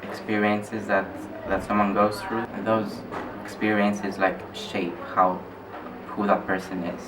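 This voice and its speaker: A man from Greece, speaking in a measured way and repeating words as he thinks, in an interview. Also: lowish voice, little pitch movement. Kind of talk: personal reflection in interview. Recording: distant mic with loud ambient noise.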